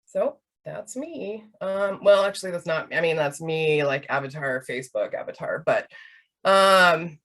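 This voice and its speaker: A woman's voice: deep voice, animated, measured pace, a bit bright. A woman in her thirties from Hawaii, presenting a webinar in a casual, chatty way with many fillers. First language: Hawaiian Pidgin. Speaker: research project manager.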